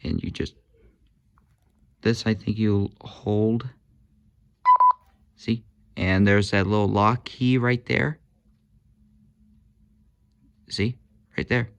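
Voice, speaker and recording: A man's voice: on the low side, fairly even intonation, slow, creaky, pauses often, highs cut off. A man casually demonstrating walkie-talkies, hesitant, with many fillers and corrections of himself. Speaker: gadget reviewer.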